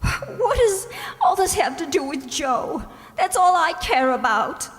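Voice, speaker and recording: A woman playing an anxious, fragile woman in distress in an old-time radio drama, speaking in a halting, fretful way. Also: high-pitched, big pitch swings. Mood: anxious, pleading. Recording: reverberant hall, stage-like sound.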